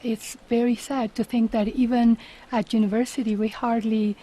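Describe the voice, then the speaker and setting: Husky-voiced woman with a Spanish accent. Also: a little high, little pitch movement, moderate pace, dark tone, rough recording. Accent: Uruguayan Spanish. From Uruguay. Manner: passionate, emphatic. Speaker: guest, company CEO. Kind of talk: radio interview.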